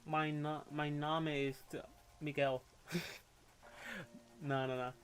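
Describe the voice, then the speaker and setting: A man's voice: fairly high pitch, flat delivery, fairly bright tone. Opinionated young Puerto Rican man. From Puerto Rico. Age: young adult. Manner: candid, opinionated, quick to rant, with casual swearing. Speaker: livestreamer. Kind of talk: casual livestream chat and rant.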